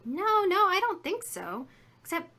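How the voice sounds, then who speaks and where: Lively, chatty American woman witness. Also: very high-pitched, measured pace, heavily acted. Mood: earnest, pondering. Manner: rambling, folksy, emphatic. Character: chatty, earnest female shop witness, fussily recalling details. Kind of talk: 1950s radio drama acting.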